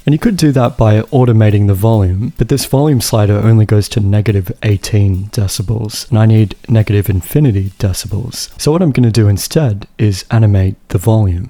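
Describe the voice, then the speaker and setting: A man giving a casual, step-by-step instructional narration, like a software tutorial host, with some dry humour. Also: on the low side. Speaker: music production tutorial host. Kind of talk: instructional screen-recording narration.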